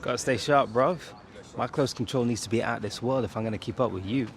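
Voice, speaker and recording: An actor playing a cocky, keen young footballer with an English accent and matey, slangy speech in a game cutscene. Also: male voice, mid-range voice, a little fast, close to an everyday voice. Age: young adult. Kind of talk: video game cutscene acting. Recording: music behind the voice.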